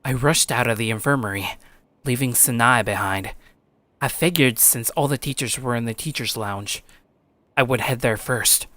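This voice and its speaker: Man playing a determined high school boy, mid-pitched. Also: moderate pace, pushed and bright, no room sound, lightly acted. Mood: serious, determined. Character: determined, blunt high school boy, narrating his own story. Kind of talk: first-person dramatic narration and dialogue.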